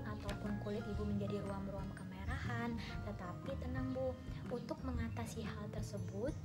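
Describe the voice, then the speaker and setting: Polite young woman explaining over loud music. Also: medium pitch. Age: young adult. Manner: polite, step-by-step explaining, formally addresses the patient. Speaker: dental radiography student. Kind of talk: skills lab demonstration narration.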